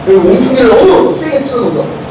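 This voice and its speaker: Hoarse high-voiced preaching man, echoey church. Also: big pitch swings, very fast. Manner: preaching loudly with rising intensity, asks the congregation questions. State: hoarse from a cold. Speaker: senior pastor. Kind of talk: sermon and closing prayer. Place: church sanctuary. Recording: very echoey church hall recorded from a distance with noise.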